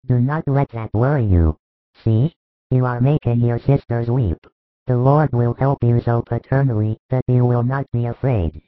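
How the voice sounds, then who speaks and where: A man voicing a gentle religious teacher who consoles a dying friend tenderly. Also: medium pitch, normal speed, dry recording, narrow, tinny audio, lightly acted. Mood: tender reassuring. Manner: tender, reassuring.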